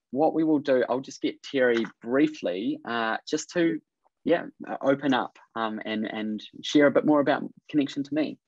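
A man's voice: medium pitch, no echo. A man in his thirties with a New Zealand accent, hosting a webinar over a video call in an upbeat, encouraging way with many fillers. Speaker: business coach, webinar host. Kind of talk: casual webinar presentation. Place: indoor room on video call. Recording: dry, dull video call audio.

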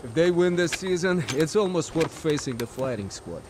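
A man's voice: a little high, animated, moderate pace, background noise, natural voice. A man playing a wry, fatalistic Soviet helicopter pilot and double agent in a video game, with a Russian accent. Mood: wary, wry.

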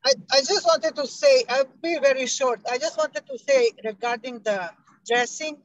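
High-voiced woman caller, hesitant. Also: normal speed, no room sound, muffled recording. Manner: hesitant, many restarts. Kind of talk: casual call-in talk.

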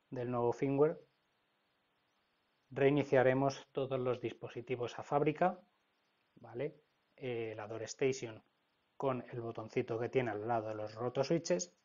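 A man with a Spanish accent from Spain walks through a software procedure step by step, often checking in with "¿vale?". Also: mid-range voice, lo-fi sound. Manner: explanatory, frequent "¿vale?" check-ins. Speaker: product trainer. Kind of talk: screen-recorded software tutorial.

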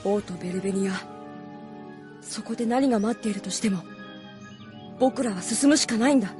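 A woman voicing a gentle, sincere young boy hero in a Japanese audio drama, soft-hearted and earnest. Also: medium pitch, fairly quick, music in the background, close to an everyday voice. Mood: gentle, determined.

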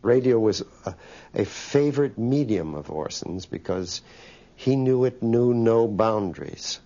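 An older man from a theatre company reminiscing in an interview in a measured way. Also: on the low side, lively intonation, warm tone. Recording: slightly muffled interview audio.

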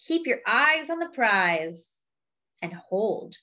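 A woman reading a picture book aloud to young children in a deliberate, teacherly way, adding simple explanations as she goes. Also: fairly high pitch, big pitch swings, pushed and bright, highs cut off. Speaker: children's read-aloud storyteller.

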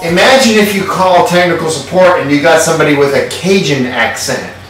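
A man's voice: fairly high pitch, fairly quick, fairly bright tone, distant mic, soft background music. Sardonic man in an echoey kitchen. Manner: sardonic, irreverent, swears casually. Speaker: host. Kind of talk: comedic home cooking show. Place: home kitchen.

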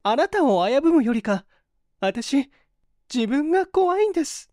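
A man's voice: extremely high pitch, big pitch swings, unhurried, a little mellow, no echo, clean studio sound, pitched above the performer's own voice, full character voice. A man voicing a desperate, poor young woman in a storybook reading, tearful and defiant with polite feminine speech. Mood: tearful, fearful. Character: desperate, sharp-tongued hungry young woman in shabby clothes.

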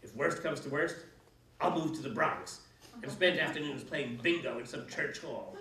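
A man's voice: fairly high pitch, moderate pace, pushed and bright. A man voicing a cranky, theatrical old female witch who grumbles and hisses sarcastically. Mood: indignant. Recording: echoey room, mic at a distance.

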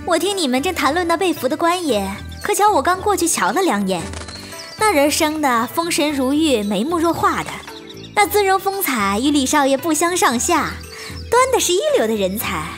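A woman's voice: sits high, sing-song, moderate pace, faint music behind. A woman playing a flirtatious, teasing bandit's wife in a Chinese period audio drama, with a coy, playful manner. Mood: coy admiring.

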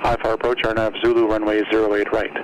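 Noisy radio, flat fast male airport announcer. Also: on the low side. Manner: monotone listing of data. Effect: aviation radio. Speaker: airport weather information announcer. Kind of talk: recorded airport information broadcast. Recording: noisy aviation radio broadcast.

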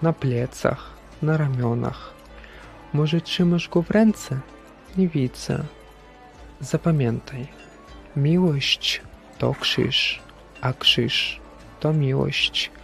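A man playing a stern, solemn Christ in a religious drama, deliberate and questioning like a preacher. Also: medium pitch, gravelly, fairly bright tone, faint music behind, exaggerated voice. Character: stern, solemn Christ speaking from a broken figurine. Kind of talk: dramatic religious stage-play acting.

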